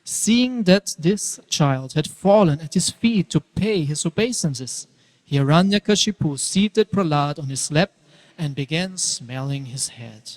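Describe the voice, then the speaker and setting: A man narrates a scripted scripture drama. His voice sits a little high and carries long reverb from a large hall. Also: lively intonation, unhurried.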